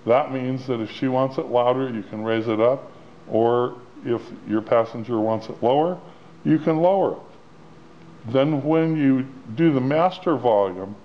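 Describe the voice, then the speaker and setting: Guy doing a plain step by step product tutorial, lo-fi audio with noise behind him. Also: medium pitch. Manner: plain-spoken, explanatory, step-by-step. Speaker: product demonstrator. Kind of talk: instructional product walkthrough. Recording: dull lo-fi sound with clear background noise.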